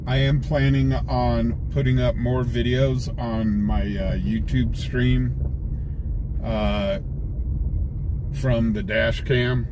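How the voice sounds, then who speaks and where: An American man talks slowly and casually from inside a car, with clear road noise around him. His delivery is folksy and rambling, like someone chatting to a live stream while he drives around town. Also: medium pitch, steady tone. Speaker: live streamer chasing police scanner calls. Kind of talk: casual live commentary to viewers. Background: vehicle noise and police scanner radio.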